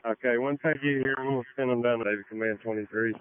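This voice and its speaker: A man's voice: medium pitch, steady tone, quick pace, a little hiss or hum. A man acting as incident commander on fire radio, giving direct, businesslike orders through a narrowband transmission.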